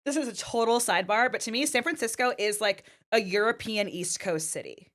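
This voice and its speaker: A woman's voice: on the high side, brisk, a bit bright. A woman from the US East Coast co-hosting a casual podcast chat, easygoing and saying "like" often. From born in New York, raised near Boston, US. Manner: easygoing, chatty, says "like" often. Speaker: podcast co-host.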